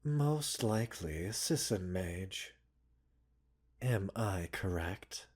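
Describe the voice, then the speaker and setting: A man playing a gentle, composed pharmacist doctor in an ASMR roleplay, speaking softly and soothingly in polite, formal phrases with mild chiding and teasing. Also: low voice, animated, very slow, husky, full character voice. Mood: composed, self-assured. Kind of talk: ASMR roleplay audio drama.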